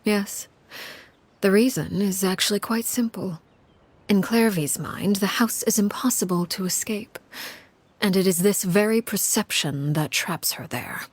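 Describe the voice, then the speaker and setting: A woman voicing a cold, commanding crime-family matriarch in a game, speaking in a measured, formal, stern way. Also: mid-range voice, natural voice. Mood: composed, explanatory. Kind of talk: dramatic video game voice acting.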